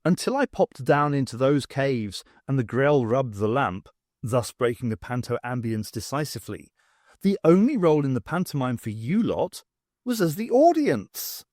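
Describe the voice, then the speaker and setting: Man reading as a wry, lively woman adventurer. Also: mid-range voice, moderate pace, dry recording, studio quality, close to an everyday voice. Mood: wry, emphatic. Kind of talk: audiobook character voice.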